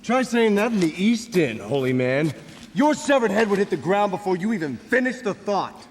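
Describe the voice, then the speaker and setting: Young male thief: hot-headed, lively intonation, fairly high pitch, dramatic game delivery. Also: moderate pace, slight background noise. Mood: angry, threatening. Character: brash young male thief, hot-tempered but pious. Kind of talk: dramatic video game voice acting.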